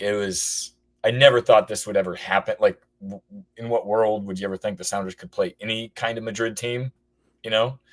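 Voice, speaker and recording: Hoarse man rambling on a call-in show. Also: on the low side. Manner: rambling, many restarts and fillers. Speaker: caller. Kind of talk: casual call-in chat.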